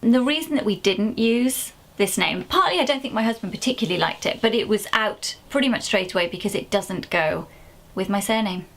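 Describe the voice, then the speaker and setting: A woman in her thirties from Wales, chatting casually to camera as a parenting vlogger, animated and jumping into a high voice for little asides. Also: fairly quick.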